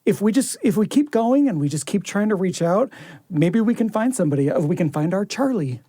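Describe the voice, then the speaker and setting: A woman's voice: medium pitch, expressive, fairly quick, dark tone, slightly rough, no room sound, studio quality. A woman co-hosting a podcast, moving between conversational chat and scripted narration. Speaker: podcast host.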